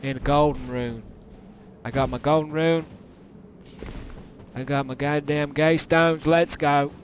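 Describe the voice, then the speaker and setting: A man's voice: fairly high pitch, fairly even intonation, measured pace, soft background music. A man from the United Kingdom putting on a thick Southern US, Texan drawl while giving hammy, joking, rambling commentary as a game livestreamer. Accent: put-on thick Southern US, Texan drawl. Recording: noisy, game battle sounds behind.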